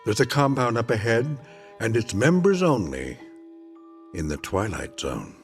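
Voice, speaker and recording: An actor playing an ominous, knowing narrator of eerie radio tales, speaking in a measured, dramatic way. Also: male voice, fairly low pitch, sing-song, soft background music. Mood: ominous, wry. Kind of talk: scripted anthology drama narration.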